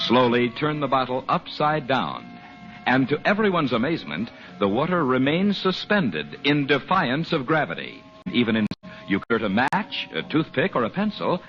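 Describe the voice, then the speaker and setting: A man with an American accent announcing and narrating in a formal, deliberate 1940s radio showman's style. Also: medium pitch. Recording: muffled old radio broadcast, music behind.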